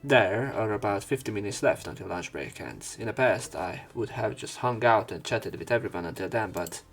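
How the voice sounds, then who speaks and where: A man's voice: on the low side, animated, normal speed, breathy edge, faint music behind. A man reading visual novel narration aloud as a let's play commentator, dropping in casual, wry asides.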